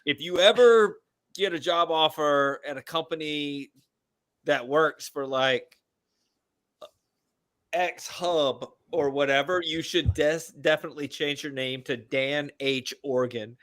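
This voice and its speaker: A man's voice: fairly high pitch, slow pace, fairly bright tone, dry recording, studio quality. A man in his forties co-hosting a casual podcast, crude and joking as he rambles through his segment. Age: 40s. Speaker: podcast co-host. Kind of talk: casual banter.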